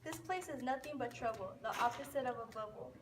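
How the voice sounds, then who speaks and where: A woman's voice: a little high, fast, natural voice. A woman playing a fussy, scheming cottage sister in an amateur rhyming puppet show. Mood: complaining. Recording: distant mic, clearly noisy, clicks.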